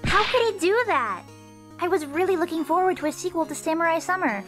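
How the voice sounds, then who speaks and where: Woman playing a high-voiced perky teenage girl. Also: animated, a little fast, a bit bright. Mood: eager. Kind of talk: amateur fandub of a visual novel game. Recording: music faintly behind the voice.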